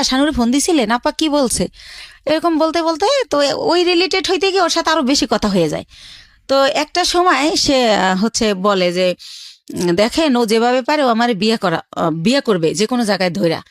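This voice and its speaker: A woman's voice: sits high, lively intonation, brisk, dry recording, studio quality. A young woman with a colloquial Bangladeshi Bengali accent tells her life story conversationally, retelling exchanges as reported dialogue line by line.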